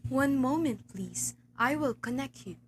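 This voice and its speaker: Radio play role: detached telephone operator, played by a woman, fairly high voice, courteous set phrases, slightly noisy recording. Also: animated, measured pace. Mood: impassive. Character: impassive, routine-bound telephone operator. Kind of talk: amateur school radio play acting.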